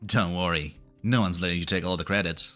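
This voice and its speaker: A man's voice: low voice, brisk, a bit bright, faint music behind. A man playing a casual, loyal rebel underling with an offhand delivery in an English fan dub.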